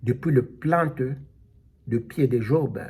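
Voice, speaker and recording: A man preaching a sermon, slow and emphatic, calling out alleluia as he explains scripture. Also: mid-range voice, gravelly, mellow, husky. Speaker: pastor.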